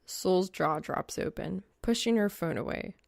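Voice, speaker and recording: A woman's voice: fairly low pitch, measured pace, creaky, a little mellow. A woman reading scripted fan fiction aloud as an audiobook narrator.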